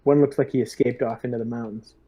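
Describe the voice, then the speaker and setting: A man's voice: medium pitch, steady tone, fast. A man playing a tabletop role-playing game, talking through plans and questions with hesitant phrasing. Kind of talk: in-game planning talk. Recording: dull, muffled microphone.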